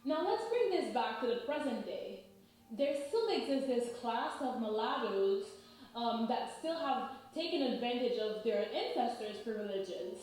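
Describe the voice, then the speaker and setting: A woman in her thirties from Haiti, explaining in a measured, lecturing way and often checking in with "right?". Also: a little high, animated, darkish tone. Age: 30s. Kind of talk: explanatory talk. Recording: very echoey room.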